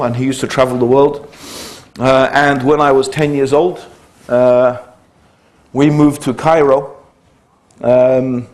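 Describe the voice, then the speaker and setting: A man in his fifties, telling the story of his upbringing in a loose, conversational public talk, with frequent fillers and restarts. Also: medium pitch. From United Kingdom.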